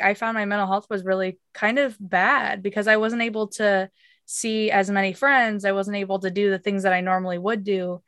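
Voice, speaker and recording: A woman's voice: medium pitch, a bit bright, clear, resonant tone, dry recording, clean studio sound. A woman hosting a casual podcast, effusive and earnest, her voice a little off as she recovers from an illness. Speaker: podcast host.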